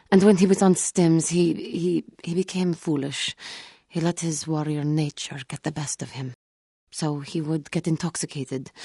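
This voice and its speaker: A woman playing an earnest, wounded alien warrior in a video game, speaking formally and gravely in measured phrases. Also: sits low, mellow, no room sound, studio quality. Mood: grieving. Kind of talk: video game character dialogue.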